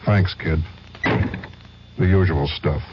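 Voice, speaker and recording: A world-weary private eye narrates in terse, deadpan radio noir style. His voice sits low, and the old broadcast recording is dull with clear background noise. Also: very slow, full character voice. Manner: clipped, deadpan. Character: hard-boiled male private detective, world-weary and terse. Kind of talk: 1940s radio noir parody narration in an advertisement.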